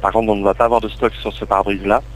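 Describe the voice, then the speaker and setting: Even-toned, lowish-voiced male phone agent. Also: rapid-fire, rough recording. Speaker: windscreen repair call centre agent. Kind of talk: customer service phone call. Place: phone call recorded over Skype. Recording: faint hum on internet call.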